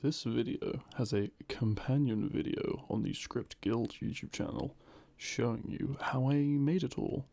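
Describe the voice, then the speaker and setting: A man's voice: medium pitch, measured pace, gravelly, few pauses, warm tone, dry recording. A man giving a casual outro as the host of an audio channel. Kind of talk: casual scripted-show outro.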